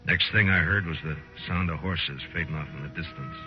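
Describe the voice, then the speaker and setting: An actor playing a stern, world-weary US Marshal in a 1950s radio western, terse and deliberate. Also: male voice. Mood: measured, suspenseful. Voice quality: deep. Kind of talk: 1950s radio drama, first-person narration and dialogue. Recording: muffled 1950s radio recording with music.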